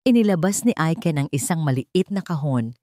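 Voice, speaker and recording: A woman storyteller narrating a scripted romance drama in deliberate, sentimental, short phrases. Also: deep voice, lively intonation, dark tone, no room sound, studio quality.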